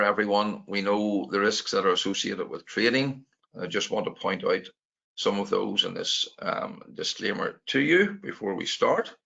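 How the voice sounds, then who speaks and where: Croaky Northern Irish man presenting. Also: lowish voice, pushed and bright. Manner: explanatory, repeats words as he restarts. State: croaky from a sore throat. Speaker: trading mentor presenting. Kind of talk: webinar presentation. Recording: lo-fi video call audio.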